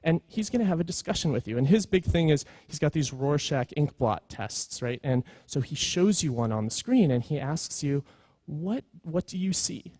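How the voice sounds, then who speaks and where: A man delivers a conference talk in a conversational, earnest style. His voice sits a little high yet is relaxed and mellow. The sound is close and dry, and he stresses his points informally. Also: normal speed, dry recording. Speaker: conference speaker, creative executive. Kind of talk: conference presentation, conversational storytelling.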